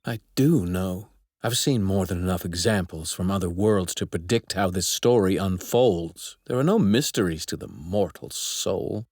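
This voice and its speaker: A haughty young god from an anime dub, low in pitch with a husky, breathy grain. His speech is formal and pompous, each word precisely placed with cold contempt. The sound is dry and close. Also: a little slow, a little mellow, no room sound. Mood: impatient contempt. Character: haughty young divine apprentice, coldly contemptuous of mortals. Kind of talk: English anime dub acting.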